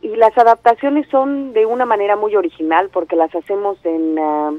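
A woman's voice: mid-range voice, steady tone, normal speed, dry recording. A woman from Andalusia with a Mexican-tinged Spanish accent, directing a theatre company and speaking enthusiastically over the phone with many fillers and restarts. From Spain, Andalusia. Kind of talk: phone interview. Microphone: telephone. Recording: muffled phone line.

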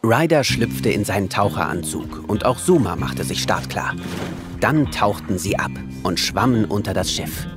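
A man's voice: lowish voice, expressive, moderate pace, music in the background. A man narrating a scripted audio story for children.

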